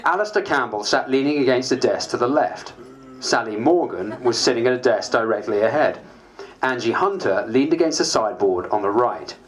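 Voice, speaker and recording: A man's voice: medium pitch, expressive, moderate pace, natural voice. A man playing a harried, self-mocking MP, narrating a dramatised diary in a dry, wry way. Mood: wry, weary. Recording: faint background noise.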